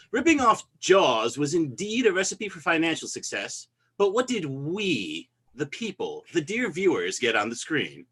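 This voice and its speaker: Man as mock prosecutor, theatrical, lively pitch swings, slightly high. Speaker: podcast co-host arguing prosecution. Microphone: video call.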